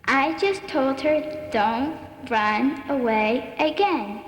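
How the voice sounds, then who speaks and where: A woman voicing a deaf girl puppet who is calm, teasing and matter-of-fact. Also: high-pitched. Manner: slow, wry, matter-of-fact. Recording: echoey hall with long reverb, noisy.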